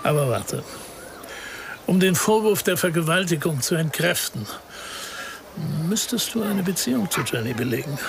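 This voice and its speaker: A dry unflappable detective in a radio play, lightish high male voice, lawyerly, some noise behind him. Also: expressive, vocal fry. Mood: dry, matter-of-fact. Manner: measured, wry, lawyerly explaining. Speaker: actor in a radio crime drama. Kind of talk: naturalistic radio drama dialogue.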